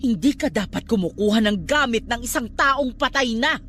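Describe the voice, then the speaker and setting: High-pitched, scolding Filipino aunt. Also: female voice, expressive, moderate pace, a bit bright, a little hiss or hum. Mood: exasperated scolding. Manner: scolding, dramatic, emphatic. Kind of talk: radio drama acting.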